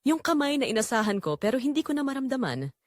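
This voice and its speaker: Woman playing a guilt-ridden wife, lively confessional narration. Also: high voice, fast talker, dry recording, clean studio sound. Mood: remorseful, sorrowful. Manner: emotive, confessional. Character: guilt-ridden wife Rosa, remorseful and heartbroken. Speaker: story narrator. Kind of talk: first-person dramatic audio story narration.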